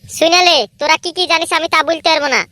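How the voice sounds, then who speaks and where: A defiant little troublemaker from a village cartoon, with a woman doing the voice. He is squeaky, very high and quick, in a dry recording. He sounds indignant and. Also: level tone, sharp tone, dry recording. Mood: evasive. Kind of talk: comedy cartoon dubbing.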